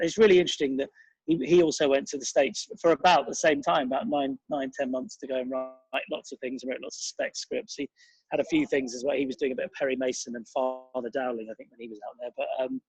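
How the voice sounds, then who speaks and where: A man's voice: medium pitch, darkish tone, husky, dry recording, low-quality recording. A man giving a casual interview as a guest, reminiscing in a rambling, enthusiastic and digressive way.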